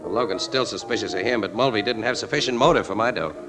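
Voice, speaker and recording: An actor playing a wry, brooding newspaper crime photographer with clipped, slangy talk in a 1940s radio drama. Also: male voice, on the low side, quick pace, lightly acted. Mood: wry, matter-of-fact. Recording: old radio recording, organ music.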